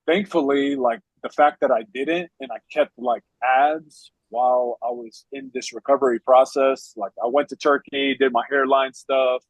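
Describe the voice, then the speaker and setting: A husky man in his late thirties, a competitive physique athlete, talking casually and rambling with lots of filler words. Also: mid-range voice, fairly even intonation, normal speed, hardly any pauses, dry recording, low-quality recording. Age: 30s. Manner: loose and rambling, heavy "like" fillers. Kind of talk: casual podcast chat.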